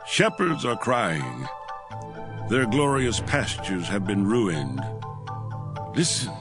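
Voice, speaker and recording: A man's voice: on the low side, sing-song, slow, over music. An actor playing an impassioned prophet who narrates his visions in a dramatic, emphatic scripture audio drama. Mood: lamenting, declamatory.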